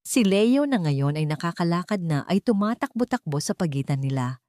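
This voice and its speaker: A woman's voice: on the low side, animated, normal speed, dark tone, dry recording, clean studio sound. A woman with a Filipino accent narrating a scripted dramatic audio story.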